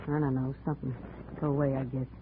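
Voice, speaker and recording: An actress playing a hard-boiled, bitter ex-convict widow in a 1950s radio drama, blunt and slangy. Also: female voice, extremely low pitch, level tone, fast. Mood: wistful, resigned. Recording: muffled, noisy old radio recording.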